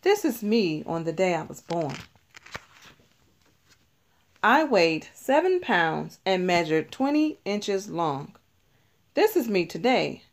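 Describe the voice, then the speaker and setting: A woman reading a children's picture book aloud, deliberately, stressing key words as she teaches. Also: lowish voice. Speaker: read-aloud narrator.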